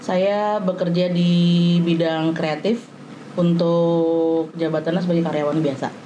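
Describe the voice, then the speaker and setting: A woman's voice: on the low side, fairly even intonation, measured pace, dark tone, a little hiss or hum, low-quality recording. A woman, talking casually about herself. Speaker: panel participant, creative worker. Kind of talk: casual personal talk.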